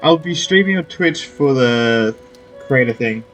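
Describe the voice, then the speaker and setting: A man's voice: medium pitch, expressive, a little slow, fairly bright tone, low-quality recording. A young man giving casual, rambling, informal commentary as he plays through a video game. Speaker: gaming content creator. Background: faint game music.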